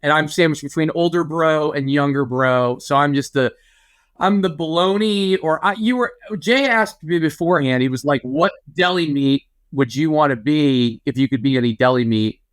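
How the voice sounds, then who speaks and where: A man's voice: a little high, normal speed, smooth. A man co-hosting a podcast in casual chat, riffing playfully. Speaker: podcast co-host.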